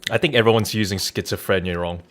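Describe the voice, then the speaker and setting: A man's voice: lowish voice, fast, a bit bright. A man livestreaming a game, chatting in a rambling, informal, joking way with casual swearing. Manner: joking, irreverent. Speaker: game streamer. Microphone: Rode NT1-A studio condenser microphone. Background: background music.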